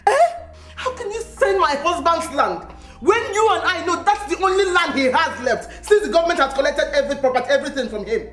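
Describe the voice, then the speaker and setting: High-pitched indignant Nigerian mother. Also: sing-song, moderate pace, a bit bright. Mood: outraged. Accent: Nigerian, Igbo. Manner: emphatic, accusing, repeats herself. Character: indignant wife and mother defending her husband's land. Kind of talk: film drama acting.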